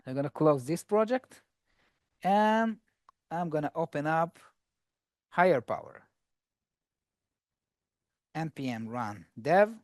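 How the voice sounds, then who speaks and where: A man's voice: fairly high pitch, expressive, slow, pauses often, darkish tone, no echo, clean studio sound. A man giving a casual, chatty technical talk with a live coding demo to a conference audience, joking with the room as he goes. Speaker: conference speaker.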